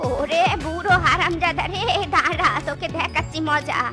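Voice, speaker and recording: A woman's voice: sits high, steady tone, moderate pace, loud music behind the voice, close to an everyday voice. A woman voicing a hot-tempered, nagging animal wife in a comic cartoon, scolding and demanding. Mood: angry.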